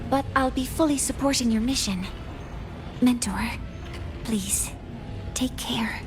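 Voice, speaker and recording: Devoted young scientist, female, earnest, measured, slightly high voice, game dialogue, music behind. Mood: tender, concerned. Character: earnest devoted young female researcher, student to her mentor. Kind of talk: scripted video game dialogue.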